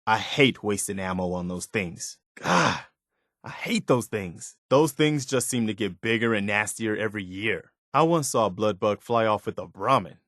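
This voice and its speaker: A man's voice: on the low side, animated, normal speed, a bit bright, no echo, clean studio sound. A man voicing an earnest, hopeful militiaman companion in a post-apocalyptic video game, speaking in a plain, wistful and wary manner. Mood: grim disgust.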